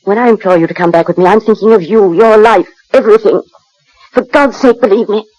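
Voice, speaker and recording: An actress playing a composed, world-weary betrayed wife in a 1940s radio drama, speaking in a measured way. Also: female voice, mid-range voice, a little mellow, dry recording, close to an everyday voice. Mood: pleading. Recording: muffled 1940s radio recording.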